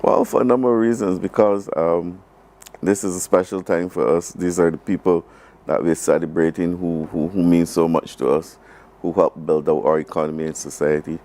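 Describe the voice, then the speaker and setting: A man with a Barbadian accent answering as an interview guest, speaking haltingly and repeating phrases for emphasis. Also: deep voice, fairly even intonation, warm tone. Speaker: government program advisor, interview guest. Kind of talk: live broadcast interview.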